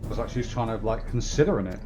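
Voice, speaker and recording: A man's voice: fairly low pitch, lively intonation, fast, over music. A man hosting a game live stream, explaining the story casually and a bit hesitantly.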